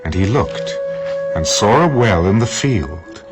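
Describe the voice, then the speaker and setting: Expressive, low-voiced male scripture narrator. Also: music in the background, low-quality recording. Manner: measured, storytelling.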